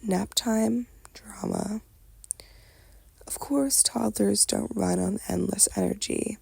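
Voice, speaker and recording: Female ASMR storyteller, very husky whisper with heavy creak, soft delivery. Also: mid-range voice, steady tone, slow, no echo. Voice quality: breathy, whispery, crackly. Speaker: ASMR roleplay narrator.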